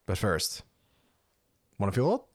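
A man co-hosting a podcast in casual chat, playful and teasing, quick to argue back. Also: fairly low pitch, wildly swooping pitch, dry recording, studio quality. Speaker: podcast co-host.

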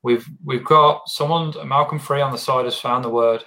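A man's voice: medium pitch, normal speed. Easygoing male podcast host, casual and conversational. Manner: relaxed, repeats words while searching for phrasing. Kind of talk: casual interview chat.

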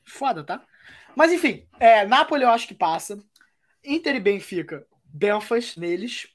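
A woman's voice: medium pitch, big pitch swings, unhurried. Chatty Brazilian woman talking football. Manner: chatty, hesitant restarts, wry. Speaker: podcast host. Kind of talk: casual football chat.

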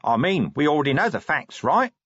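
A man reading a story plays a cheerful, cheeky corporal. He is blunt and practical, his voice pushed up high and bouncing around, on a slightly dull recording. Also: big pitch swings, fairly quick, fairly bright tone, slightly rough, no echo, heavily acted.

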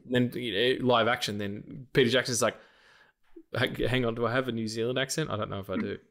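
A man co-hosting a film podcast, chatting casually, excitable and jokey, swearing freely. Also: mid-range voice, fast. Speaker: podcast co-host. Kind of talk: casual chat.

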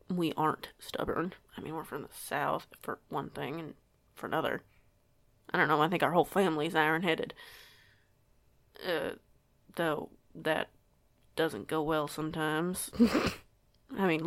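A woman's voice: sits low, fairly even intonation, measured pace, fairly bright tone, no echo. A woman playing a curious, stubborn teenage werewolf girl in an audio roleplay, with a chatty, rambling, self-correcting way of talking. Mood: candid, teasing. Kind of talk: audio roleplay acting, casual conversation.

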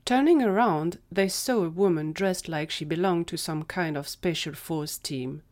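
A woman's voice: fairly low pitch, normal speed, darkish tone. A woman reading scripted story narration as the narrator of an audio drama.

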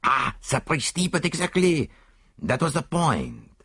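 A precise, theatrical older detective, put on by a male audiobook reader. His tone stays mildly reproving and full of rhetorical questions, and the voice is gravelly, with a wildly lively pitch. The recording is dry and close. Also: a little slow, no room sound, full character voice. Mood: explaining. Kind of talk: audiobook character voice.

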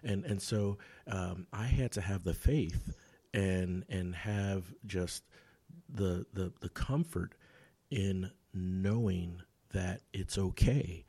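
Deep voiced guy hosting a podcast chat, lots of uh and right, super dry sound. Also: slow pace, mellow, husky, no echo. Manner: supportive interviewer, frequent backchannels and uh fillers. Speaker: podcast host. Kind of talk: casual interview chat.

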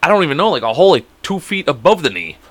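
Man co-hosting from home: bright, animated delivery, foul-mouthed, joking. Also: fairly high pitch, very fast. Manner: crude, joking, swears a lot. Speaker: podcast co-host. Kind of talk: casual chat.

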